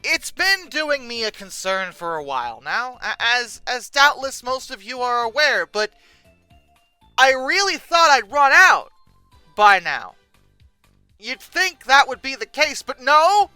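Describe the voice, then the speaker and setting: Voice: high-pitched, very animated, measured pace, bright, forceful tone, clear, resonant tone, no echo, faint music behind. A video host giving casual, humorous commentary in a sarcastic, exasperated manner with dramatic emphasis.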